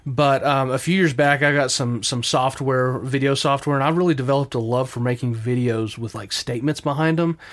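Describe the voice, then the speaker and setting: A man co-hosting a faith podcast, speaking thoughtfully with frequent pauses and fillers. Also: mid-range voice, a bit bright. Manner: thoughtful, hesitant, many fillers. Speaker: podcast co-host. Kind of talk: casual podcast chat.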